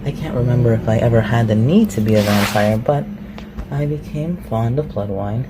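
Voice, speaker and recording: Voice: medium pitch, normal speed, very noisy, close to an everyday voice. A performer in an ASMR roleplay voicing an ancient, reclusive shapeshifter, haughty and awkward, with formal, archaic phrasing. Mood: hospitable, wistful. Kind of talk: ASMR roleplay, scripted one-sided dialogue.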